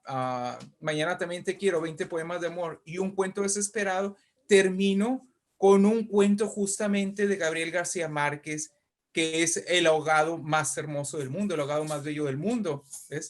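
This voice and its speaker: A man with a fairly high voice speaks as an interview guest. He is a writer telling literary anecdotes conversationally, effusive and emphatic, often repeating his words for weight.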